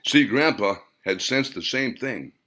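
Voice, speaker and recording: A man's voice: mid-range voice, lively intonation, unhurried, sharp tone. A man voicing an earnest male character who tells nostalgic, dramatic boyhood memories in an audiobook. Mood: earnest, suspenseful. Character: earnest male storyteller recalling boyhood summers with Grandpa.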